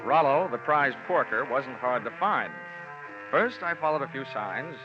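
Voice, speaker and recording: A man's voice: mid-range voice, animated, moderate pace, close to an everyday voice. Wisecracking insurance investigator, played by a man, dry narration, 1950s radio drama, dull recording with music behind.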